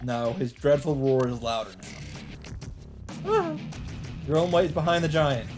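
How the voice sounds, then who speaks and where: Let's player, mid-pitched voice, dramatic visual novel read with casual asides, music clearly behind. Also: lively intonation, unhurried.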